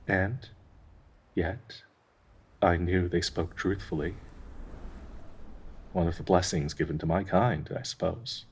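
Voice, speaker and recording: A man playing a gentle, grieving dryad in an intimate audio roleplay, speaking in a tender, halting, wistful way with a husky, breathy voice. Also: deep voice, steady tone, slight background noise. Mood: nostalgic wonder. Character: gentle, grieving male dryad devoted to a sick companion.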